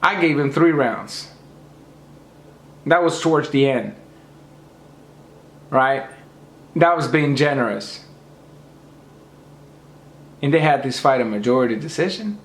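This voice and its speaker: A man's voice: medium pitch, lively intonation, takes it slowly, long pauses, roomy sound. A man losing his voice, ranting emphatically about boxing and lecturing his viewers. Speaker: boxing commentator. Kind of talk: opinionated rant to camera.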